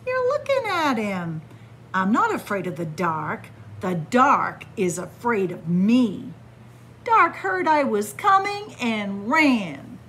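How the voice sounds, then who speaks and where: A woman's voice: fairly high pitch, sing-song, takes it slowly, fairly bright tone, slight background noise, full character voice. A woman voicing a boastful shark from a picture book, bragging and exclamatory. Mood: cocky. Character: boastful shark, jumpy about spiders. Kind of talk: picture-book read-aloud. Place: indoors in a library.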